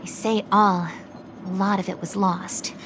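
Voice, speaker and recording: A woman's voice: medium pitch, level tone, noisy background, natural voice. A woman playing a polite, dutiful official in a video game, speaking in a formal, careful, helpful way. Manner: formal, measured, courteous.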